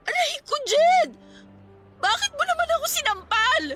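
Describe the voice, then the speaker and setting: High, pleading young Filipina girlfriend. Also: expressive, measured pace, pushed and bright, soft background music, exaggerated voice. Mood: hurt, crying. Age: young adult. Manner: whiny, pleading, defensive. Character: pleading, long-suffering young student girlfriend. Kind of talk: Tagalog radio drama acting.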